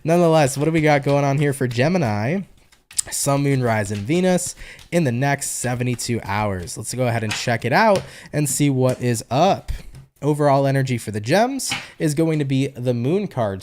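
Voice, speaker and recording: Higher-voiced New York man, chatty and slangy. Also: darkish tone. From New York, USA. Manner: chatty, slangy, swears casually. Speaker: tarot reader, online host. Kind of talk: casual tarot reading.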